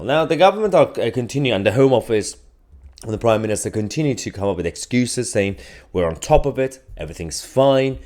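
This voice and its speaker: A man's voice: medium pitch, a little mellow. A man hosting an online news channel, delivering opinionated commentary in emphatic bursts. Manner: emphatic, rising and falling in bursts.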